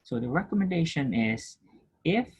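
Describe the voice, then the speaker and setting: A man teaching a nursing class, explaining step by step, often checking that students follow and clearing his throat. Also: on the high side, sing-song, normal speed, a little mellow. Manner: patient, repetitive, checks understanding with questions; frequent throat clearing and restarts. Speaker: nursing instructor. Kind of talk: recorded lecture over screen share.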